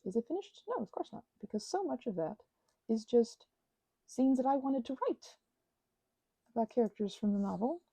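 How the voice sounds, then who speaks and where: A woman chatting casually in a rambling, digressive way to her audience, animated and poking fun at herself. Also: on the high side, normal speed, long pauses, breathy edge, no echo, poor audio. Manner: animated, self-mocking, digressive. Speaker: hobbyist creator talking to her audience. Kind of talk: casual rambling chat, ASMR channel.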